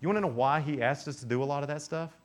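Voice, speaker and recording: A man's voice: fairly high pitch, very fast. A young man giving a casual, joking sermon to teenagers at a youth camp, often acting out little voices. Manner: animated, joking, acts out quoted voices.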